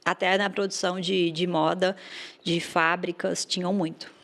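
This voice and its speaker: A woman from Brazil, a podcast guest telling stories of life abroad in an animated, chatty way. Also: fairly low pitch, normal speed. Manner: animated storyteller, frequent "né" and "gente". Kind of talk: casual interview chat.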